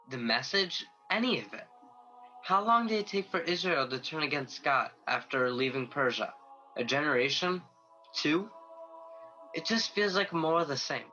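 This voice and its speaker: A restless angel, acted by a man with a bright, slightly high voice. He questions everything with a discontented edge, as in a radio play, on a muffled video-call recording with music underneath. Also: exaggerated voice. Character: restless young male angel, doubting and eager for action.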